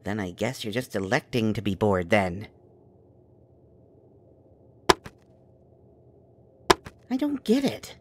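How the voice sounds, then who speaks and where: A man's voice: on the low side, huge pitch swings, moderate pace, heavy background noise. Man playing a wordy, bookish, cautious magic scholar. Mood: exasperated. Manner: wordy, polite, stammers when nervous. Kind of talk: urban fantasy audio drama acting.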